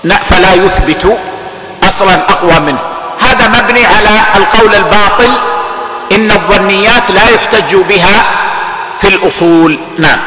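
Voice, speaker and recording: A man's voice: sits high, normal speed, very noisy. A man explaining a classical text line by line, repeating key phrases and sometimes raising his voice for stress. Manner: repeats phrases for emphasis, frequent "yes" as a cue to go on; raises voice to stress a point. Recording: echoey hall.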